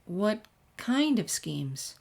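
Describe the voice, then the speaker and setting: A woman puts on an eager teenage schoolgirl for a storybook reading. The voice is lifted high above her own, chirpy and curious, with a questioning, easily flustered delivery. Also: sing-song, extremely slow, vocal fry, a little mellow, heavily acted.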